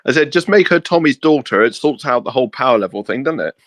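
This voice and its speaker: A man's voice: mid-range voice, lively intonation, fast talker, a bit bright, no room sound. A man co-hosting a casual, geeky discussion, explaining his ideas informally. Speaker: channel co-host.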